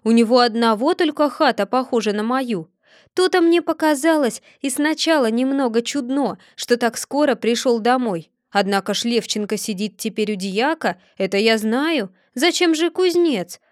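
A woman's voice: on the high side, normal speed, dry recording, studio quality, natural voice. A woman voicing a sly, stubborn middle-aged Cossack man who mutters indignantly to himself. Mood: muttering.

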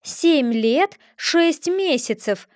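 A woman's voice: high-pitched, animated, no echo, studio quality. Woman playing a pompous touchy egg man. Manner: haughty, lecturing, quick to take offense.

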